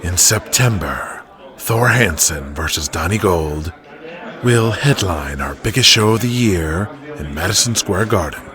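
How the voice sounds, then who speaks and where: A man's voice: low voice, expressive, unhurried, a crowd in the background. A man voicing a sly, sinister male wrestling promoter who schemes as he talks. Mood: smug, scheming.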